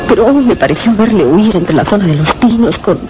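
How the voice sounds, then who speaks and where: An actress with a Castilian accent voicing a frightened young weather observer, halting and repeating words, in radio suspense theatre. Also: female voice, medium pitch, expressive, music in the background, lightly acted. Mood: terrified. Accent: Castilian Spanish. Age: young adult. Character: frightened young female weather observer, shaken but insistent. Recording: noisy old radio drama recording.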